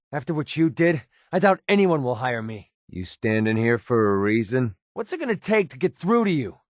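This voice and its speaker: A man's voice: mid-range voice, no echo, studio quality. An actor playing a guarded, hot-tempered young male mercenary companion in a video game, speaking in a blunt, sardonic yet earnest conversational way.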